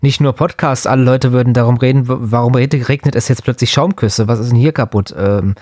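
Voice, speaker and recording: A man's voice: mid-range voice, steady tone, fairly quick, a little mellow, dry recording, clean studio sound. A man co-hosting a casual podcast, talking in a spontaneous, jokey way and prone to chuckling. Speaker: podcast co-host. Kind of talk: casual humorous podcast chat.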